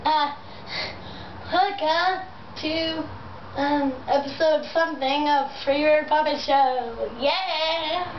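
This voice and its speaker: A young woman hosting a homemade puppet show, improvising in a halting, rambling, enthusiastic way. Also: sits high. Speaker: amateur puppet show host. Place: room in a house. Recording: muffled, distant, noisy echoey home video.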